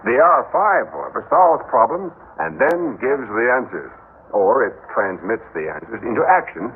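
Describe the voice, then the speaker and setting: An actor playing a persuasive, smooth-talking male head of a robot company in an old radio drama. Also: mid-range voice, big pitch swings, normal speed, lightly acted. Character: suave middle-aged male robot-company chief. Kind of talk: 1960s radio drama acting. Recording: tinny old radio broadcast with hiss.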